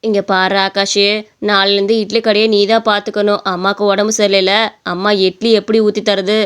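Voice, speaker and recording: A woman's voice: mid-range voice, little pitch movement, a little fast, smooth, voice pushed down, natural voice. A woman voicing a kindly, motherly idli seller who soothes and chides, in a story. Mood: soothing. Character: kindly motherly idli seller, gently scolding her son.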